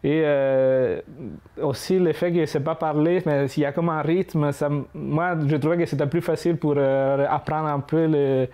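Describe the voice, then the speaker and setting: Italian-accented man, 30s, smooth warm tone, hesitant interview talk. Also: fairly high pitch, steady tone, moderate pace. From Italy. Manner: hesitant, frequent fillers. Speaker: immigrant interviewee.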